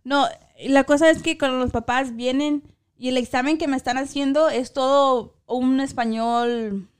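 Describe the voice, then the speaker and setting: High-voiced chatty woman. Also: moderate pace, clear, resonant tone. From Chicago. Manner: code-switching, animated, laughs often. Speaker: co-host, school translator. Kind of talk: casual chat.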